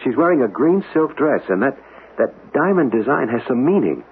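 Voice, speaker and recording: An actor playing a courteous, intrigued antiques enthusiast in a radio drama, earnest and somewhat hesitant. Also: male voice, mid-range voice, big pitch swings, moderate pace, breathy edge, exaggerated voice. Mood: intrigued, wistful. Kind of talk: old-fashioned radio drama acting. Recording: tinny noisy old radio broadcast.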